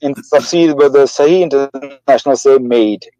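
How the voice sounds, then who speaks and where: A man's voice: mid-range voice, moderate pace, dark tone, dry recording, lo-fi sound. A man as a debate guest, defending his view and repeating that he must correct the other side. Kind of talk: heated live debate.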